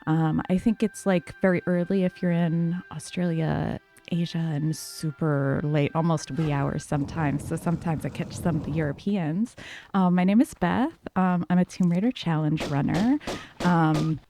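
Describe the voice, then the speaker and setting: A woman streamer chats to her viewers in a soft, calm voice, full of "um"s and loose asides. She speaks into a desk mic. Faint music plays underneath. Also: low-pitched, moderate pace. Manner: soft, calm, many fillers. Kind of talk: casual livestream chat.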